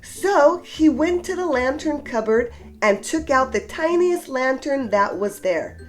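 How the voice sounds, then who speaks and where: A woman reading a children's storybook aloud with deliberate, expressive storytelling emphasis. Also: sits high. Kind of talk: expressive read-aloud narration for young children.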